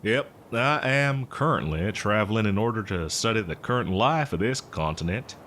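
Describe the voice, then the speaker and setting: Man playing a rambling, animated eccentric botanist. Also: on the low side, a little hiss or hum. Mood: musing, explanatory. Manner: hesitant, rambling, folksy, with chuckles and drawn-out words. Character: eccentric, cheerful, rambling botanist, survivor from before the apocalypse. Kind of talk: roleplay audio drama monologue addressed to listener.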